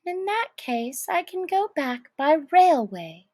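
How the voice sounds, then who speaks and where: Little storybook girl, super high voice, slow and dramatic, plaintive and upset. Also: big pitch swings, a little mellow, no room sound, studio quality, exaggerated voice. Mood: surprised. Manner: exaggerated, plaintive, drawn-out. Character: Alice, distressed young girl, dramatic and tearful. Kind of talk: children's storybook character acting.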